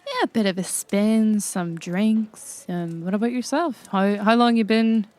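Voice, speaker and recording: A woman's voice: medium pitch, unhurried, darkish tone, no echo. A woman chatting casually and politely asking questions as a player in an improvised comedy roleplay game.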